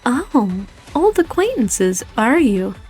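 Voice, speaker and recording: A taunting female assassin with a fairly high voice, acted by a woman. She drawls with teasing, mock-sweet confidence, as in a game drama. Music runs beneath her. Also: sing-song, takes it slowly, a little mellow, slightly rough, exaggerated voice. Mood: amused menace. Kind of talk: fan-dub game drama acting. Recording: background music under the voice.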